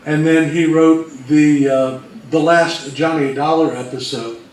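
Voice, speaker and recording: A man's voice: on the high side, fairly even intonation, unhurried, a little mellow. A man presenting history informally, in a hesitant, matter-of-fact storytelling way. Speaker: co-presenter of a talk on old-time radio history. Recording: echoey room from far off, faint noise.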